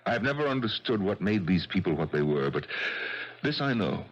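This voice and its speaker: An actor playing a stern man who condemns a dying woman, with a husky, gravelly voice, in a 1970s radio drama. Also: lowish voice, big pitch swings, normal speed. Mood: accusing. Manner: accusing, then ashamed and faltering. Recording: dull 1970s radio broadcast.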